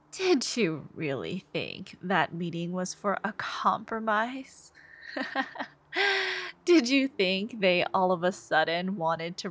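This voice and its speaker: A woman voicing an obsessive, devoted, soft-spoken supervillain in a scripted ASMR roleplay, intimate and menacing, with phrases trailing into whispers. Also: medium pitch. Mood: scornful. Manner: slow, intimate, breathy sighs and whispered phrase endings.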